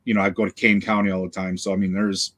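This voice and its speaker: A middle-aged man with a fairly low voice, talking in a relaxed, rambling way. He hosts a casual chat from his home collection room and often trails off with "so". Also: fast talker. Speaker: podcast host.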